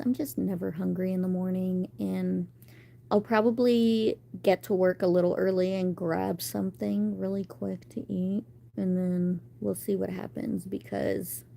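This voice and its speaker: Woman, 30s, live streamer; unhurried, rambling chat voice, mid pitch. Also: fairly even intonation, vocal fry, dark tone. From United States. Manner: chatty, rambling, reading and answering viewer comments.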